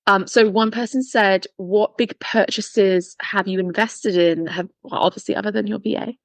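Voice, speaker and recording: A woman's voice: medium pitch, moderate pace, vocal fry, dry recording. A woman hosting a podcast, chatting casually and laughing often. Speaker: podcast host.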